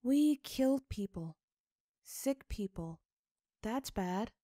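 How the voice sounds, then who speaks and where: A woman voicing an innocent, curious young girl with a flat, childlike manner in a story reading. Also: a little high, slow, dry recording, clean studio sound, voice pushed up. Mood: troubled. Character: innocent, curious, inexpressive young girl, not quite human.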